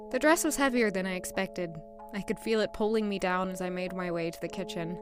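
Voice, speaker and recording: A woman acts as a young maid newly made lady-in-waiting to a queen. She is earnest, anxious and given to introspection. Her mid-pitched female voice carries an audio drama over a bed of music. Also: natural voice. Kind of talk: audio drama dialogue and first-person narration. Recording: music behind the voice.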